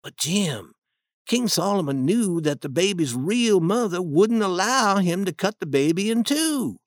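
A man's voice: high-pitched, very animated, a little slow, no room sound, clean studio sound, pitched above the performer's own voice. A man reading an audiobook, voicing a young boy who patiently reasons an argument step by step. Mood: reasoning.

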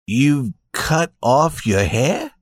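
A tender young husband from a storybook tale, voiced by a male narrator, talks at a middling pitch. He is gentle, slightly dazed and earnest. The recording sounds dry. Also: expressive, unhurried, dry recording.

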